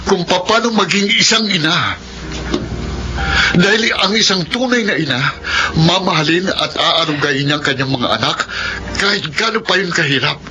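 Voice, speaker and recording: A man's voice: sits high, normal speed, lightly acted, low-quality recording. A man playing a bitter, wounded son in an emotional, accusing Filipino radio drama performance. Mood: cold, bitter. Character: bitter, wounded adult son rejecting his mother.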